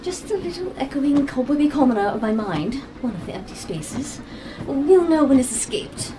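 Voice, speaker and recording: A woman playing a quick-witted, eccentric time-travelling adventurer in an audio drama, rambling breezily and flippantly even in danger. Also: high-pitched, far from the mic, background noise. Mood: flippant, brisk.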